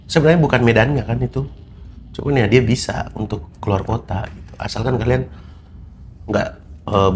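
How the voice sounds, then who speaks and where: Low-voiced man chatting casually. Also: a little hiss or hum. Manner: relaxed, rambling with many fillers. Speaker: vlog host. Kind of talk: casual chat.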